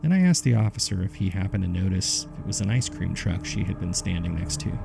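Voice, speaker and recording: A man with a gravelly, husky voice reading scripted horror case files in a measured, subdued way, as narrator and host. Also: low voice, animated, loud music behind the voice.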